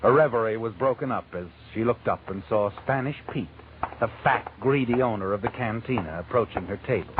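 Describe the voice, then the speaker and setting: An actor playing an ominous, all-knowing mystery narrator with an American accent, sinister and insinuating in 1940s radio style. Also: male voice, lowish voice, a little fast, heavy background noise, lightly acted. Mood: brooding. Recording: vintage radio recording with narrow band.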